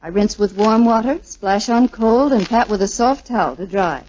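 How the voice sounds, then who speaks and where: A woman's voice: medium pitch, moderate pace, darkish tone, a little hiss or hum, natural voice. A woman performing a glamorous film star's beauty testimonial in a 1940s radio advertisement. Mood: demonstrative. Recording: muffled old radio recording.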